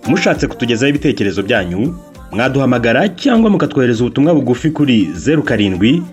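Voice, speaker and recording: A man's voice: medium pitch, sing-song, racing, lo-fi sound. A Rwandan man announcing a radio drama in scripted, promotional narration. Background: music.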